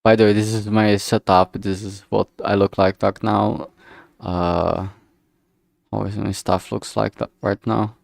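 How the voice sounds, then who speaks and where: Slow, creaky-voiced man chatting on stream. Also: fairly low pitch, little pitch movement, no echo, clean studio sound. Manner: rambling, halting, thinking aloud. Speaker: livestreamer making a visual novel. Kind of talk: casual work-along livestream chat.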